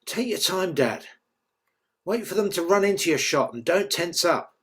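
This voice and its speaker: Cocky young soldier, acted by a man; lively, swooping delivery, slightly high voice, table-read drama. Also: normal speed. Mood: cool, confident. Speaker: script reader.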